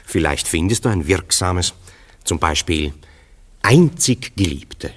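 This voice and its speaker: An actor playing a worldly, amused bachelor friend in a German radio drama, wry and questioning. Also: male voice, deep voice, lively intonation, takes it slowly, slightly rough, exaggerated voice. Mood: wry amusement.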